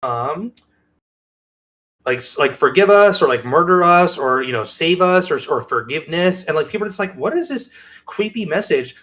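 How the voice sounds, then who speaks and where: A man co-hosting a podcast in casual show-and-tell chat, halting and interrupting himself as he presents. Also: on the high side, expressive, a bit bright. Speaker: podcast co-host.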